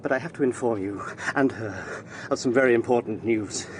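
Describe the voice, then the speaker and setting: An actor playing an earnest young lawyer in a period audio drama, formal and dramatic. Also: male voice, medium pitch, moderate pace, a little hiss or hum, lightly acted. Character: earnest young eighteenth-century English lawyer.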